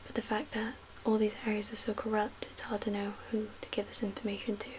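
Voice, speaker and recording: Soft whispery asmr lady playing a calm guarded camp medic, pretty monotone, bit of hiss behind her. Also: medium pitch, fast talker. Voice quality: whispery, breathy. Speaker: ASMR roleplay performer. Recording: audible background hiss and ambience.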